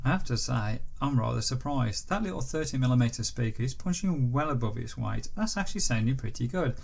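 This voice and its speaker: A man's voice: mid-range voice, lively intonation, fairly quick, vocal fry, background noise. A man giving a casual product review, talking through each step as he goes. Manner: step-by-step running commentary.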